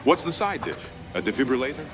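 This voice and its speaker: Clear music runs behind a man acting a practical, exasperated ghost hunter from a motion comic. The mid-pitched voice swings widely as he grumbles. His delivery is plain-spoken and wry. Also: very animated, fast talker, natural voice. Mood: teasing. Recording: loud music bed under voice.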